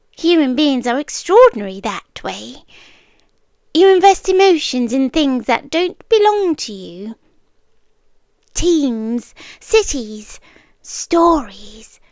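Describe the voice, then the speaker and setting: Woman as a slow, whispery ancient yandere being, British. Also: high voice, no room sound, studio quality, exaggerated voice. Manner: slow, deliberate, hushed, with dramatic pauses. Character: ancient otherworldly female being, obsessively devoted, wistfully watching humans. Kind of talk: soft ASMR roleplay, half-whispered monologue. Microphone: close ASMR microphone.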